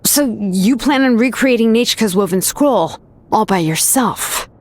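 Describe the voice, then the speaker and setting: An actress playing a cool, sharp-witted young artisan in a video game, composed, knowing and matter-of-fact. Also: female voice, a little high, expressive, unhurried, a little hiss or hum. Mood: coolly probing.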